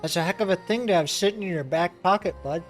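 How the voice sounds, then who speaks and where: A man's voice: high-pitched, fast talker, faint music behind. A man giving casual, wry playthrough commentary as a gaming streamer. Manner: offhand, wry reactions.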